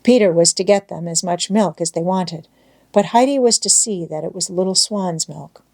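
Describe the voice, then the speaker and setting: A woman's voice: fairly low pitch, normal speed, a little mellow. A woman reading a children's storybook aloud as scripted audiobook narration.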